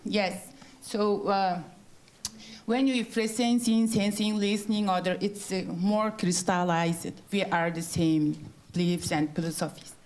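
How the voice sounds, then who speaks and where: A woman from Mongolia addresses an auditorium, her delivery slow and halting as she searches for her words. She speaks at a middling pitch, English being a language she is still growing into. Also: steady tone, a little mellow, a little hiss or hum. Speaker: student presenter. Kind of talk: short prepared speech at a university event.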